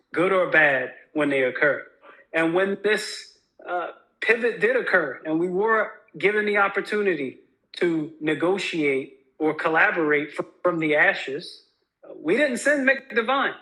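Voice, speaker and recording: Higher-voiced, forceful man campaigning. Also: poor audio. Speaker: union leadership candidate. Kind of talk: campaign message to union members.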